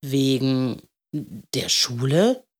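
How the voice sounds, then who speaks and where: A woman voicing a kindly, playful old male candlemaker in a storybook, talking in a gentle, ceremonious way. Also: deep voice, very animated, exaggerated voice. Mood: puzzled, gentle. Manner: gentle, ceremonious, drawn-out questioning.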